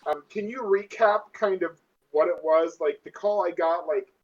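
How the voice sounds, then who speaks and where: A man's voice: high voice, normal speed, darkish tone, a little hiss or hum. A man casually talking through a tabletop game out of character as a player, thinking aloud in a hesitant way. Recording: dull lo-fi audio.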